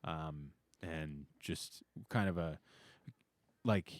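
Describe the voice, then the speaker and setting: A man chatting casually as a musician guest on a podcast, with a hesitant, halting delivery. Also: extremely low pitch, dry recording. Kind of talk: casual chat.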